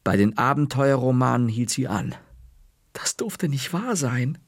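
A man narrating a children's story in an expressive, unhurried way. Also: medium pitch, no echo, studio quality.

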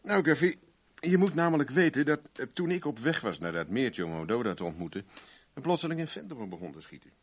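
An actor playing a guarded private investigator in a radio play, casual and dryly probing. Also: male voice, mid-range voice, big pitch swings, fast talker, hardly any pauses, slightly rough. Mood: guarded, evasive. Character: wary, dry male private investigator. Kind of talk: Dutch radio play acting.

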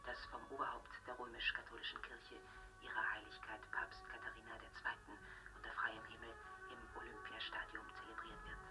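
An actor playing a solemn, official announcer of a dystopian state broadcast, speaking in a hushed, formal manner in a radio drama. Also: male voice, mid-range voice, poor audio. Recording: loud music under whispered voice. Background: loud music.

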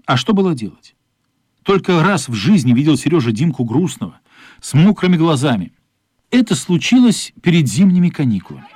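A man's voice: fairly high pitch, very animated, brisk, a little mellow, husky, no room sound. A man narrating a radio play as dramatic scripted storytelling, expressively voicing the characters' thoughts.

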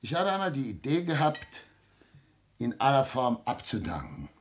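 An actor playing an aging, sardonic family patriarch in a German radio play, speaking with formal, probing irony. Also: male voice, medium pitch, very animated, moderate pace, slight background noise, natural voice. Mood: cutting, formal. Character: imperious aging patriarch, sardonic privy councillor and father.

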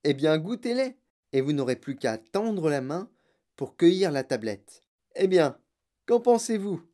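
A narrator voicing an excitable, bossy, eccentric male chocolate factory owner in a children's audiobook, with exclamatory, theatrical commands. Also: medium pitch, a little slow. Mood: grandiose. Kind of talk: children's audiobook character acting.

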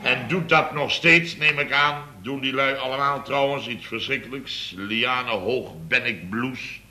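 An actor playing a blustering, muddled boss of a building firm in a radio comedy, rambling and repeating himself. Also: male voice, mid-range voice, a little slow, bright, forceful tone, slight background noise. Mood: exasperated. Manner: rambling, repetitive, tags phrases with "hè". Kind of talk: 1970s Dutch radio comedy acting.

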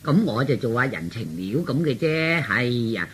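A woman's voice: rumbling low, animated, moderate pace, slightly rough, heavily acted. An actress playing a gossipy, meddling female relative in a radio drama, with a Cantonese accent and a roundabout, insinuating manner. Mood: coy, insinuating.

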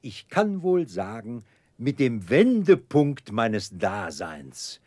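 A grandiose, genteel debtor in a radio play. His husky voice rises and falls theatrically through ornate phrases, with pompous hesitations. The recording is dry and close. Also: medium pitch, wildly swooping pitch, slow, a little mellow, no echo, exaggerated voice. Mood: pompous, effusive. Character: pompous, florid, genteel middle-aged debtor gentleman, grandly self-important. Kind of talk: German radio play acting.

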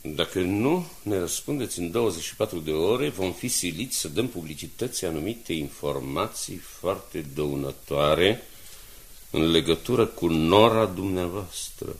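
An actor playing a proud, embattled older newspaper director in a radio drama, formal, commanding and dramatic. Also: male voice, deep voice, expressive. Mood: troubled.

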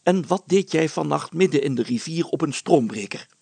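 A man's voice: fairly high pitch, animated, moderate pace, creaky, darkish tone, husky, no room sound, pitched above the performer's own voice, exaggerated voice. A man voicing a pompous, jovial village mayor who speaks emphatically and hems solemnly in a storybook reading. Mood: stern, confidential.

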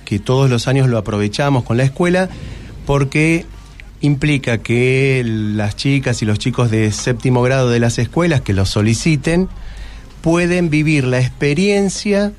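An Argentine man with a Rioplatense accent talks as a school guest in an informal interview. His voice is of middle pitch, and he lays out his explanation step by step. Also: a little mellow. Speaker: school staff member, guest.

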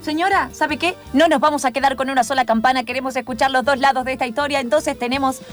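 A woman's voice: high voice, fairly even intonation, quick pace, faint music behind, natural voice. A woman playing a sensational talk-show hostess and mediator in an improvised comic radio play, emphatic and showy, with an Argentine accent. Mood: grave, sensational. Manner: emphatic, showy, repeats phrases.

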